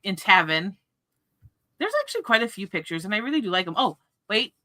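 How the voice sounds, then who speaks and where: A woman hosting a casual book discussion livestream, chatting in an animated way. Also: mid-range voice, brisk.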